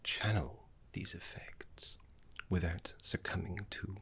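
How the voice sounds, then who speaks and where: A man voicing a gentle, erudite necromancer teacher in a fantasy ASMR roleplay, speaking in a breathy half-whisper with refined, reassuring deliberation. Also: deep voice, fairly even intonation, slow, exaggerated voice. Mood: calm, instructive.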